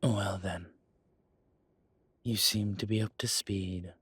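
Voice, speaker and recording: Audio drama role: wry centuries-old male vampire acted by a man, husky and unhurried, self-assured, close dry recording. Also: lowish voice, steady tone, fairly bright tone, dry recording, full character voice. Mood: sardonic.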